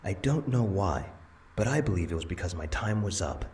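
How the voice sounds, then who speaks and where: A man playing the kindly, proud spirit of a grandfather in a visual novel, gentle and earnest. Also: deep voice, expressive, moderate pace, a little hiss or hum. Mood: explaining. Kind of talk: visual novel character dialogue.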